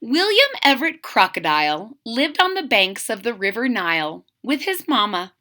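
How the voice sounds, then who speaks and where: Bright, animated woman reading to children. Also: a little high, normal speed. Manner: expressive, explanatory asides to young listeners. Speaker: kindergarten teacher reading aloud. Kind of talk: children's storybook read-aloud.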